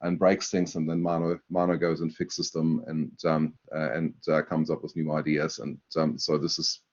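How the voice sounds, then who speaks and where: A man with a German accent presenting a university webinar, talking in a halting way with many fillers and restarts. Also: rumbling low, flat delivery, a little mellow. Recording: dull lo-fi webinar audio.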